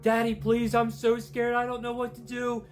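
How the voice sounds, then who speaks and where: A man's voice: very high voice, monotone, moderate pace, voice pushed up. Man doing a shrieking, terrified young woman. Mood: panicked. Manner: shrieking, panicked pleading. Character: terrified young woman fleeing through woods. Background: music.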